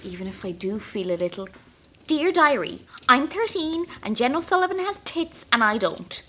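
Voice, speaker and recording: A woman's voice: a little high, very animated, normal speed, bright, forceful tone, slight background noise. A woman with an Irish accent playing an anxious, wry young intern confiding in her diary in an audio drama. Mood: wry, restless. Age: young adult. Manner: intimate, wry, self-mocking.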